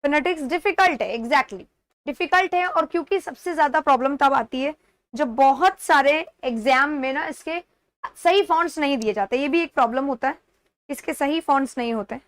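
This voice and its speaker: A woman's voice: high-pitched, normal speed, dry recording. A woman with an Indian accent teaching an exam-prep lesson step by step, repeating key words and checking that students follow. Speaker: English teacher.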